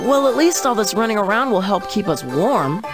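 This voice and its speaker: A woman's voice: medium pitch, very animated, moderate pace, over music, lightly acted. A woman voicing an easygoing, wry young kid adventurer in a children's educational game. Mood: easygoing, determined.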